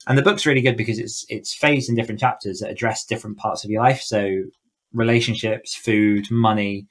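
A man's voice: on the low side, steady tone, brisk. A man speaking casually as an interview guest, talking in an enthusiastic, effusive way. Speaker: podcast guest, horror author and coach.

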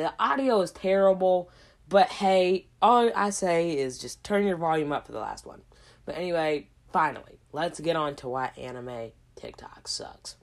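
A woman hosting a casual solo podcast, hoarse from a cold, rambling in a slangy way with lots of asides. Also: lowish voice. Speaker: podcast host.

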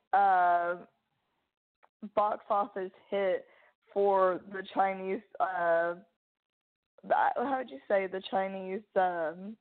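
A woman chats casually as a co-host, wandering loosely through her thoughts with the odd mild swear word. Her pitch is average, and the audio is narrow and tinny, like a phone line. The delivery is unscripted and informal. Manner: informal, mildly profane, rambling. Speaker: podcast co-host. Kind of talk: casual chat about film actors. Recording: thin, phone-like band-limited audio.

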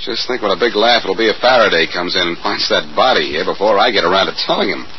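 An actor playing a breezy, wisecracking American amateur detective with a flippant, bantering manner in an old-time radio drama. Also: male voice, low voice, fast, close to an everyday voice. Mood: wry, animated. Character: breezy, wisecracking amateur sleuth, cool under suspicion. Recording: muffled, noisy vintage radio recording.

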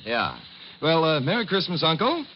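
A cheery, outspoken young man, somebody's nephew in a vintage radio play. His slangy voice is fairly high and leaps about. The old recording is dull with faint noise. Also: huge pitch swings, moderate pace. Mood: cheerful. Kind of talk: 1950s radio acting.

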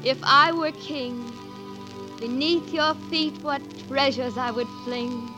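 A woman's voice: high-pitched, steady tone, slow. An actress playing an earnest, gracious noble lady in love, refined and theatrical, in 1940s radio style. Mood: earnest, wooing. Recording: vintage 1940s radio broadcast, music behind.

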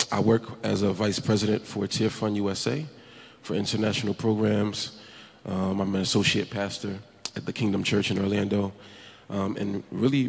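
Haitian-born pastor guy, low gravelly flat voice, joking w the crowd, echoey. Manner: warm, jokey with the crowd. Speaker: pastor and panelist. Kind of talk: self-introduction on a panel. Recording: echoey hall, faint noise.

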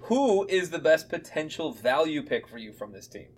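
A man's voice: on the high side, big pitch swings, normal speed, a little hiss or hum. Blunt, joking man co-hosting a podcast. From Chicago area. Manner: blunt, opinionated, swears and jokes. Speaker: podcast co-host. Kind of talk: casual sports chat.

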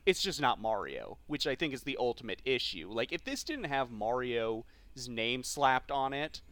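A man's voice: medium pitch, big pitch swings, moderate pace, fairly bright tone, no echo. Casual man, very dry close sound. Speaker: podcast co-host. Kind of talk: casual movie discussion.

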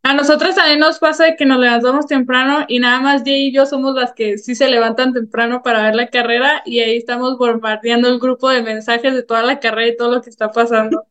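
A woman co-hosting a podcast, chatting quickly and enthusiastically. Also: on the high side, little pitch movement, fairly bright tone. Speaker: podcast co-host. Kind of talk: casual chat.

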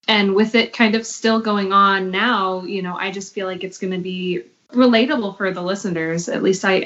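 A woman's voice: on the low side, lo-fi sound. A woman, a voice actor, chatting casually in a panel discussion with a hesitant, filler-sprinkled delivery. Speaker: voice actor discussing an audio drama.

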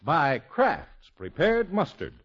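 A male commercial announcer in 1950s radio style, with a husky voice and very animated intonation. The old broadcast recording sounds narrow and muffled. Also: on the high side, slow. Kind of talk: 1950s scripted radio advertising.

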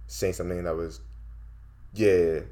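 Woman co-host, extremely low voice, quick mumbled delivery. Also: steady tone, a crowd in the background. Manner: quick, sometimes mumbled speech. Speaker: podcast co-host. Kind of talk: casual chat.

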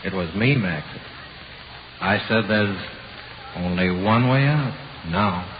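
A man's voice: on the low side, slow pace. An actor with an American accent playing a sinister, taunting male ghost, drawing out his words in 1940s radio horror style. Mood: sinister, insistent. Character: menacing, taunting male ghost of a dead criminal. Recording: very noisy, muffled old radio recording.

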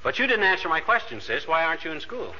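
An actor playing a blustery, wisecracking middle-aged husband with folksy slang in an old radio comedy. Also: male voice, fairly high pitch, big pitch swings, fast, pushed and bright, exaggerated voice. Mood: mock-indignant, teasing. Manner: folksy, slangy, pleased with own puns. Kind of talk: 1940s radio comedy acting. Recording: noisy, muffled old radio recording.

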